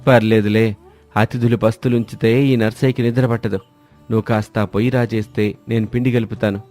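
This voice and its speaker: An actor playing a kind, stubborn village man who lives alone, speaking gently and reassuringly. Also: on the low side, flat delivery, brisk, faint music behind, close to an everyday voice.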